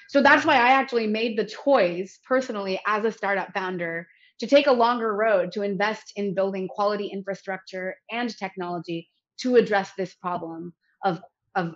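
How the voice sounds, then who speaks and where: Earnest American woman, muffled audio. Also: mid-range voice. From United States. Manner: earnest, articulate, with light laughs. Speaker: health company founder, guest. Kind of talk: interview conversation.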